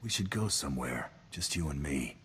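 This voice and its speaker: An actor playing a big, flirtatious tough mercenary in a video game, teasing and laconic. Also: male voice, extremely low pitch, animated, moderate pace, fairly bright tone, a little hiss or hum.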